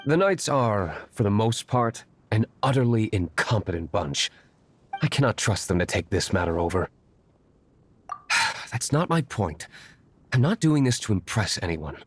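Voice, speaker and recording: A man's voice: fairly low pitch, big pitch swings, measured pace, husky, heavily acted. An actor voicing a brooding, aloof young nobleman vigilante in a game, formal, curt and dryly serious. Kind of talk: game character dialogue.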